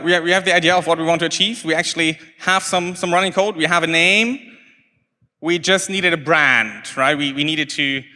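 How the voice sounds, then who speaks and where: A man's voice: on the high side, pushed and bright, clean tone. A man whose first language is German, giving a hesitant technical talk from slides with many restarts and fillers. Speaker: conference speaker. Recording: long hall reverb.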